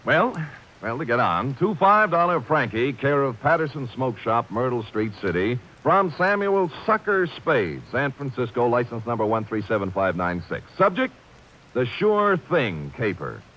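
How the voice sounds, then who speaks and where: A wisecracking American private eye from a 1940s radio drama, his pitch leaping about as he talks. He is glib, wry and mock-grandiose, heard through a dull vintage recording. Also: big pitch swings, measured pace. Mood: mock-formal dictation. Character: sharp-tongued, wisecracking male private detective.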